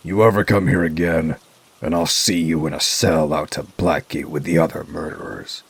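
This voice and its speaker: Grim masked vigilante in a comic dub, super low husky growl, terse n menacing. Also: male voice, fairly even intonation, moderate pace, full character voice. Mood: stern warning. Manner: terse, stern, threatening. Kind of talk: comic book dub acting.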